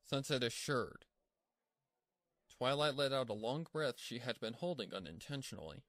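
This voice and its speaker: A man narrates a story from a script in a medium-pitched voice. The recording is dry, with very little room sound. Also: animated, moderate pace, fairly bright tone, dry recording. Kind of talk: scripted fan-fiction narration.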